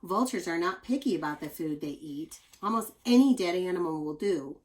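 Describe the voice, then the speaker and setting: Expressive woman teacher reading aloud. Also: fairly low pitch, normal speed. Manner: expressive, explanatory, with chatty asides to young listeners. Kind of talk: read-aloud of a children's nonfiction book with asides.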